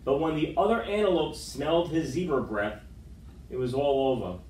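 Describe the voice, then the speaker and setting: A middle-aged man reading a children's picture book aloud with dramatic, expressive storytelling, recorded indoors by a camera across the room. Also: a little high, moderate pace, slight background noise. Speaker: storytime reader. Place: school room with wood-panelled walls, indoors. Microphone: far camera microphone. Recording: roomy, distant camera microphone.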